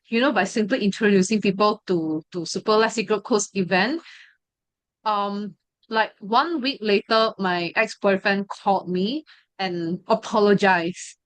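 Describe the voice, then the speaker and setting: A woman's voice: mid-range voice, no room sound, low-quality recording. A young woman sharing a personal testimony, hesitant and full of fillers and restarts. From Malaysia. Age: 20s. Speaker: study group member sharing her story.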